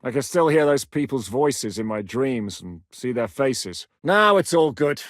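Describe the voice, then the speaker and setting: An actor playing a battle-hardened medieval rebel commander in a video game, gruff and dry-humoured, with a blunt, commanding, sardonic manner. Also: male voice, mid-range voice, sing-song, measured pace, no echo. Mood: wry, resolute. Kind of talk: dramatic video game character acting.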